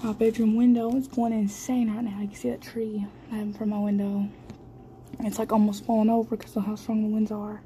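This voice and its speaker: A woman's voice: mid-range voice, flat delivery, brisk, warm tone. A scared, shaky woman giving breathless, exclaiming commentary into a handheld phone. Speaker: amateur storm videographer. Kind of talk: excited running commentary on a phone video. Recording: noisy amateur phone recording, storm ambience.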